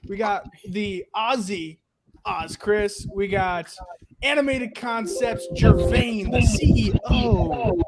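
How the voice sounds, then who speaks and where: An excitable man hosting a casual group review, loud and quick to laugh, over an online call. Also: high-pitched, noisy background. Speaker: review show host.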